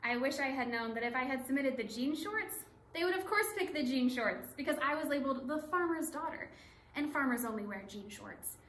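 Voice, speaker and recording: Woman playing chatty young reality tv girl, high voice, emphatic stage reading, echoey room. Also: animated, fairly quick, fairly bright tone. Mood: wry, exasperated. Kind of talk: readers theatre performance. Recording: echoey room, some background noise.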